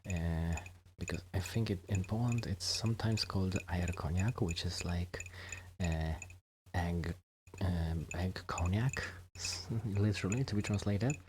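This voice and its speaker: A man with a very low voice talks softly and intimately in non-native English, as if keeping a friend company in an ASMR role-play. He is hesitant and modest, often sinking toward a whisper. There is clear noise in the recording. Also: unhurried. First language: not English, a second-language speaker. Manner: soft-spoken, often dropping into whispers, hesitant. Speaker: ASMR performer playing a musician friend.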